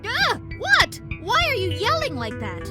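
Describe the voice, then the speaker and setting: Casting: exasperated, sardonic female villain for a game, high voice with big swoops, music underneath. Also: wildly swooping pitch, a little slow, full character voice. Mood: startled, annoyed. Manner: exasperated, theatrical, swearing. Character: Kiki, sardonic, dramatic, gun-toting female villain rival. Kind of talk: visual novel game voice acting.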